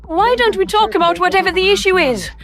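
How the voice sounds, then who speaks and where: A woman's voice: very high-pitched, lively intonation, brisk, fairly bright tone, heavily acted. A woman voicing a young female reptilian alien in a sci-fi story, reasonable and conciliatory, speaking politely. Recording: music under the voice.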